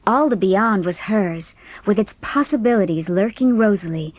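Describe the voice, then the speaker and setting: A woman's voice: medium pitch, normal speed, darkish tone, no echo. Woman narrating a storybook, dry sound. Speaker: audiobook narrator.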